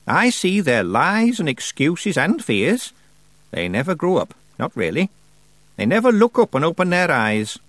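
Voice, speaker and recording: Storybook: a man doing a very old sly witch woman, raised pitch, slow lofty drawl, very dry close sound. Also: wildly swooping pitch, fairly bright tone, no echo, heavily acted. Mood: scornful. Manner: slow, drawn-out, lofty delivery. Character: ancient stern female witch, sly and dry-humored.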